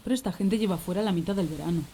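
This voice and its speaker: A woman's voice: fairly low pitch, very fast, warm tone, slight background noise, natural voice. A woman playing a caring, inquisitive mother in an audio drama. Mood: affectionate, curious.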